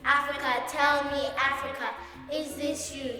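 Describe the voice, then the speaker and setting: A young girl reciting a poem in a solemn, measured way. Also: sits high, little pitch movement, pushed and bright, roomy sound, in a large hall, soft background music. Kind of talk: poetry recitation.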